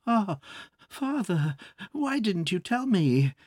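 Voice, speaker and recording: A man's voice: high voice, very animated, takes it slowly, darkish tone, no room sound, studio quality, voice pushed up, exaggerated voice. A man reading aloud, voicing a naive, earnest young French daughter in a raised voice.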